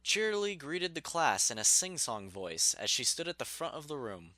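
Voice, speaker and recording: A man's voice: a little high, big pitch swings, moderate pace, sharp tone, dry recording. A man narrating a scripted story expressively, in the manner of an audiobook reader.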